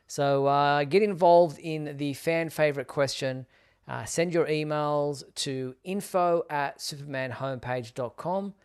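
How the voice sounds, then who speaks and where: Aussie guy hosting a live show, smooth clear voice, chatty presenting style. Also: on the high side, a little slow. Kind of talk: casual live show presenting, reading viewer messages.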